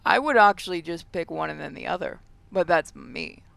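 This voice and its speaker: A woman's voice: fairly low pitch, animated, normal speed, gravelly, fairly bright tone, no echo. A woman hosting a game playthrough, reading story narration aloud and adding casual commentary. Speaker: gaming video host.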